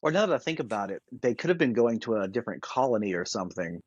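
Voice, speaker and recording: A man co-hosting a podcast, chatting casually and thinking out loud in a conversational way. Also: fairly low pitch, very animated, quick pace, slightly rough, no room sound, muffled recording. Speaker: podcast co-host. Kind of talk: casual discussion.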